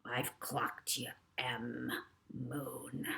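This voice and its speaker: A rude and scheming professor, a storybook villain played by a woman. The speech is slangy and aggressive, full of demands and threats, in a low-pitched voice. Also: little pitch movement, extremely slow, gravelly, bright, forceful tone, heavily acted. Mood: muttering, menacing.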